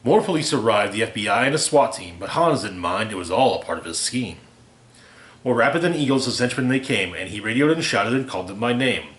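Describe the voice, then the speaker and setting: A man reading a rhyming holiday parody aloud as a storyteller, with theatrical, deliberate delivery. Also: fairly low pitch, animated, a bit bright. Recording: roomy, echoey home recording.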